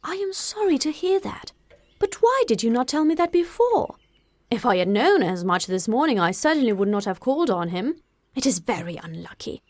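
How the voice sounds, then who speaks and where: A mischievous, unruffled middle-aged father from a period novel, read by a woman. The delivery is wry and deliberate, with mock-solemn emphasis, recorded dry and close. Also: fairly high pitch, very animated, darkish tone, no room sound, pitched above the performer's own voice. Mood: wry, sly. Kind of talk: audiobook character acting.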